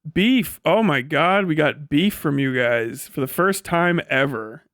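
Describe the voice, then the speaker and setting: Higher-pitched American man, blunt livestream chatter. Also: lively intonation, unhurried, a bit bright, no echo, studio quality. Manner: profane, blunt, teasing. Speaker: live streamer and host reading chat. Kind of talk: casual livestream chat with joking rants.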